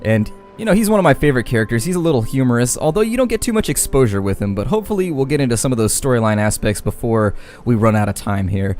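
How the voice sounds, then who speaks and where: A man's voice: mid-range voice, animated, fast, a little mellow. Man doing chatty game commentary over music. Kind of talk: casual let's-play commentary.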